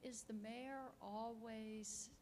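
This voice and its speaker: A woman's voice: fairly high pitch, steady tone, takes it slowly, a little mellow. A woman, a resident asking a polite question at a town meeting. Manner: polite, complimentary. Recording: long reverb of a meeting hall.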